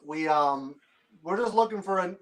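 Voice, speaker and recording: A man's voice: sits high, lively intonation, moderate pace. A man who is a guest on a casual chat, answering in a hesitant way with many "um"s.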